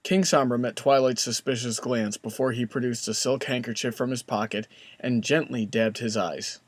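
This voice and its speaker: A man's voice: medium pitch, steady tone, normal speed, dry recording. Male story narrator, dry close sound.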